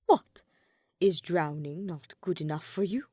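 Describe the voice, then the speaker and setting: A woman's voice: lowish voice, measured pace, warm tone, dry recording. A woman voicing a guarded, dour nobleman spymaster with a formal, dry, restrained manner in a story reading. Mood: dryly teasing.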